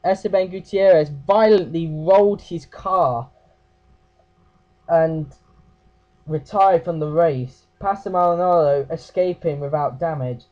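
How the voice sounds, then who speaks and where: Man, croaky voice, a bit high-pitched, casual race recap, hesitant, dull lo-fi sound. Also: mellow. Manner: hesitant, with fillers and self-corrections. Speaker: race review host.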